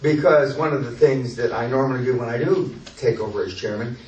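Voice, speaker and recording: A man's voice: mid-range voice, fairly quick, darkish tone, dull audio. A man leading a business seminar, talking bluntly and with profanity, often mimicking what people say. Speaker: seminar host and business mentor. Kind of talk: blunt seminar lecture with coaching. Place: large echoey room at a seminar. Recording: echoey room, distant mic, faint hiss.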